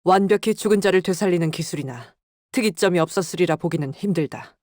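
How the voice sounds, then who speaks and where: A woman's voice: lowish voice, fast talker, a little mellow, dry recording, clean studio sound, close to an everyday voice. A woman voicing a proud, stern female military tactician in a game, with clipped, formal, commanding delivery. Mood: stern, scornful. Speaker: game character voice. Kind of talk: dramatic scripted game dialogue. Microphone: close, dry studio microphone.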